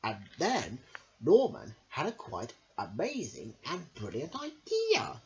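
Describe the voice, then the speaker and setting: A woman's voice: deep voice, wildly swooping pitch, takes it slowly, darkish tone, dull audio, slight background noise. A woman hosting a children's storytime, reading expressively and addressing young listeners directly. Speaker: storyteller and host.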